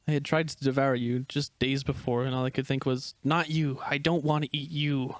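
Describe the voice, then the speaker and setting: A man playing an earnest, gentle young gray wolf, shy and devoted, in a dramatic reading of a screenplay. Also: medium pitch, flat delivery, normal speed, no room sound, close to an everyday voice. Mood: earnest, confessional.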